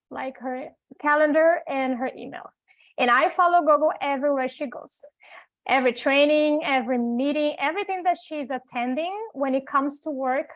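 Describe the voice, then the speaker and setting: A woman with a high voice introduces herself and walks through her work in a friendly, plain way. The sound is dry and close, with no room around it. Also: fairly bright tone, no echo, poor audio. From Brazil. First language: Portuguese. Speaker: executive assistant. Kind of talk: self-introduction.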